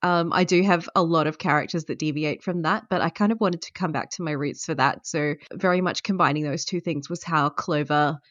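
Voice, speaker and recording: Low-voiced woman, thoughtful and unhurried. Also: fairly bright tone, dry recording, muffled recording. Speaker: guest player. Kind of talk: casual interview answers.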